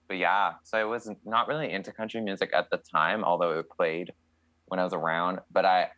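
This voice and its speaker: A man's voice: low voice, a bit bright, dry recording. A young man from Canada, a country singer, answering interview questions in a chatty, candid way with many fillers.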